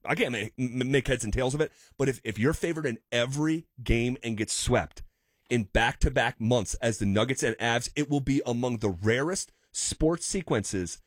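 Analytical sports radio host, dry studio. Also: male voice, mid-range voice, moderate pace, no echo. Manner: analytical, builds points with frequent restarts. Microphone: studio microphone.

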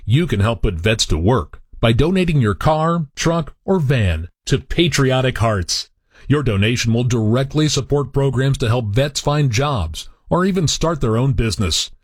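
A man announcer reading a charity radio advert in an earnest, measured way. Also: mid-range voice, lively intonation, breathy edge, no room sound, clean studio sound.

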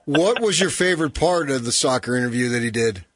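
Deadpan teasing male co-host. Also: medium pitch, fairly quick. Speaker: radio co-host. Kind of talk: sports radio banter.

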